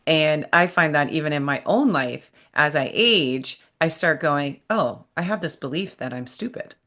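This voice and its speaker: A woman's voice: sits low, lively intonation, normal speed, fairly bright tone. A woman in her fifties hosting a podcast interview, speaking candidly in a chatty, self-deprecating way. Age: 50s. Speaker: podcast host. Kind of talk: conversational interview.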